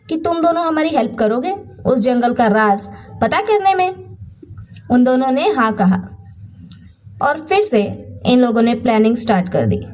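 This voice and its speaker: A woman with a fairly high, soft-edged mellow voice narrates a bedtime tale. She phrases things deliberately, leaving suspenseful pauses as a dramatic storyteller does. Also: low-quality recording.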